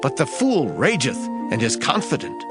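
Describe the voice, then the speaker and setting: A man's voice: mid-range voice, wildly swooping pitch, moderate pace. A man giving a solemn, declamatory dramatized reading of scripture. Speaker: scripture reader. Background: music.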